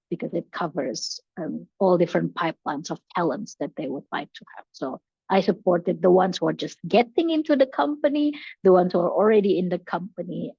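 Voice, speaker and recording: A woman's voice: fairly low pitch, slightly rough, no room sound. A young woman from Indonesia, a podcast guest speaking over a video call, answering thoughtfully with frequent fillers and restarts. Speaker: podcast guest, learning and development professional. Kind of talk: casual interview answers. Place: bright room with large windows. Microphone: headphones on a video call. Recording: dull, lo-fi video call audio.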